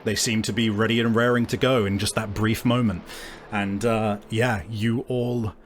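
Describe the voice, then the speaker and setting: A man's voice: lowish voice, little pitch movement, normal speed, a little hiss or hum. A man hosting and game mastering, improvising vivid descriptive narration for a tabletop game. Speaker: game master and podcast host. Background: background music.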